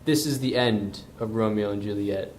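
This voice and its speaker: A man's voice: fairly low pitch, normal speed, very noisy. A man narrating an amateur puppet show retelling from a script. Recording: roomy echo.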